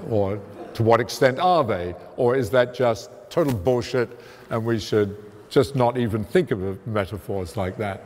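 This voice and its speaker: A man giving a public science lecture, talking in an emphatic, conversational way with a fairly loud voice, away from the podium. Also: mid-range voice. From immigrant to the United States. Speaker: guest lecturer, theoretical physicist. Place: museum lecture hall, walking away from the podium. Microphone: room mic, speaking without a handheld mic. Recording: long reverb of a lecture hall.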